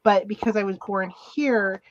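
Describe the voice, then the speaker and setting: A woman chatting casually on a podcast, telling things haltingly with pauses mid-sentence. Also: medium pitch, fairly even intonation, dull audio, a crowd in the background. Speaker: talk show panelist.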